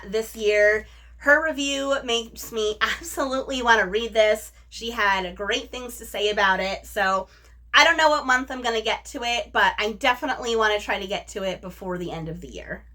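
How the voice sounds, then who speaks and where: A woman's voice: on the high side, pushed and bright. A woman hosting a book review video, chatting casually and enthusiastically to her viewers. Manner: enthusiastic, gushing.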